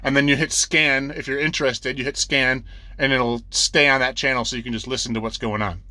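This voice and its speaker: A man's voice: mid-range voice, fairly even intonation, a little fast, bright, forceful tone, no echo. A man explaining things in a casual, plain-spoken, hands-on way, repeating his instructions step by step like a trucker showing a beginner the ropes. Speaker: trucker giving a tutorial. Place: inside a truck cab.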